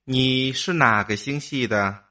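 A man's voice: mid-range voice, takes it slowly, sharp tone, recorded from a distance. A man playing an inquisitive, matter-of-fact immortal human mind in a science fiction audio drama. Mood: curious.

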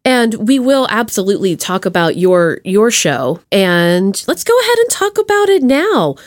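A woman hosting a podcast in a casual chat, with a playful, slangy and expressive way of talking. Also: medium pitch, normal speed, no room sound, clean studio sound. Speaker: podcast host.

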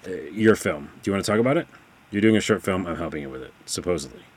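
A man hosting a podcast, chatty and excitable, sitting back from the microphone. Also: sits low, racing, slight background noise. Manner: chatty, excitable, reads book blurbs aloud. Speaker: podcast host. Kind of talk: casual podcast chat. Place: studio room, sitting back from the mic. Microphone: far from the microphone.

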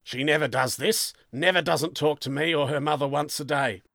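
Man acting out a forceful, menacing police sergeant, pitched up. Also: a little slow, dry recording, clean studio sound. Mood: agitated. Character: stern, suspicious middle-aged male police sergeant and worried father.

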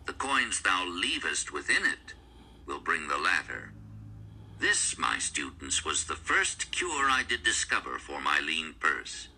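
Male audiobook narrator: low voice with a very sharp, forceful edge, declamatory storytelling delivery. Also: huge pitch swings, unhurried, a little hiss or hum, low-quality recording. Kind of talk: scripted audiobook narration in archaic storybook English.

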